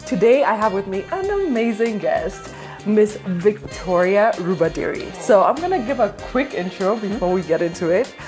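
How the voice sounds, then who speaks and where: A woman hosting a podcast, chatty and quick to laugh as she interviews her guest. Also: mid-range voice, big pitch swings, over music. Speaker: podcast host. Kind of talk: casual interview chat.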